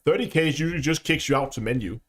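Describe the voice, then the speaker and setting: A man's voice: on the high side, quick pace, a bit bright. A man with a Danish accent hosting a casual gaming livestream, chatting with viewers and laughing often. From Denmark. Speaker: livestream host.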